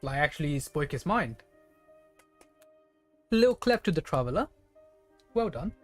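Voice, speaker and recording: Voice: mid-range voice, sing-song, measured pace, no echo, soft background music. A game streamer giving casual, chatty, playfully exasperated live commentary.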